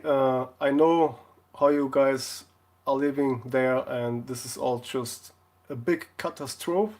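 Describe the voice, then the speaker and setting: Guy chatting on a livestream, medium pitch, jokey, keeps stopping to find the word. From Portugal. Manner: halting, searching for words, jokey. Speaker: live streamer, console repair video maker. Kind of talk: casual live stream chat.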